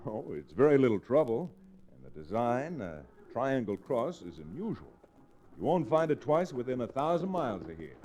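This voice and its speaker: An actor playing a confident, educated American Western hero in an old-time radio drama, assured and plain-spoken. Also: male voice, medium pitch, sing-song, normal speed, dark tone, slight background noise, natural voice. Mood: hearty, busy. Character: confident, educated Western lawyer-rancher hero, shrewd and unflappable. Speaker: radio actor, lead and narrator. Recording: restored vintage radio recording.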